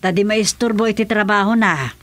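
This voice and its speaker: A woman's voice: medium pitch, brisk, fairly bright tone, dry recording, lightly acted. An actress playing a kindly older woman with an Ilocano accent in a radio comedy drama, speaking in a motherly, conversational way. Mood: easygoing.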